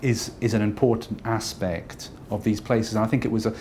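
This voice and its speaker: A man from Scotland who is a painter, talking thoughtfully and unhurriedly about his work, with pauses and fillers. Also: fairly low pitch, level tone, a little mellow, slight background noise.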